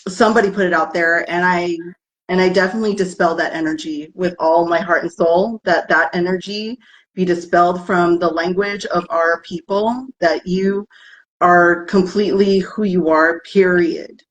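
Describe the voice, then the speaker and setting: A woman's voice: on the low side, fairly even intonation, moderate pace, poor audio. A woman guest speaking in an interview, earnest and heartfelt, bilingual with Tagalog. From United States. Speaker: guest, herbalist business founder. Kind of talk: interview conversation.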